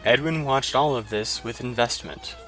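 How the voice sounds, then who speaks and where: A man narrating a fantasy short story in an even, descriptive manner. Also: medium pitch, moderate pace, faint music behind.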